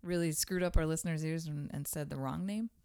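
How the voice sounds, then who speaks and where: A woman's voice: low voice, steady tone, a little fast, warm tone, clear, resonant tone. A woman co-hosting a podcast in casual chat, teasing and blunt, and swearing freely. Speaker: podcast co-host.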